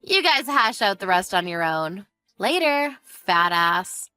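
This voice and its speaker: A woman's voice: mid-range voice, very animated, takes it slowly, pushed and bright, clean tone, soft background music, voice pushed down, full character voice. A woman voicing a fed-up, sarcastic wife with a cutting, smug manner in a narrated family drama. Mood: smug, mocking. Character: fed-up, sarcastic young wife taunting her in-law.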